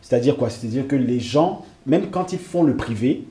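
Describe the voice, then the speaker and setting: A man speaks as a guest on a televised panel discussion. His voice is very dark and mellow, and he makes his points in a measured, hesitant way, with repeated fillers. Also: medium pitch, expressive.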